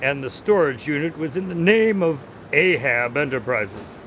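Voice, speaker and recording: A man's voice: on the high side, expressive, normal speed, a bit bright, slight background noise. A man acting in a radio drama as an affable, inquisitive detective's sidekick who tells stories in an earnest, conversational way. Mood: wry.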